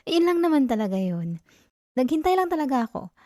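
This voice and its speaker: Woman acting as clingy possessive young girlfriend, Filipino accent, ASMR roleplay, mellow soft tone, teasing and pleading, dry close-mic sound. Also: high-pitched, very animated, fairly quick, no room sound. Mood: hurt, pleading. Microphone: close microphone, dry sound.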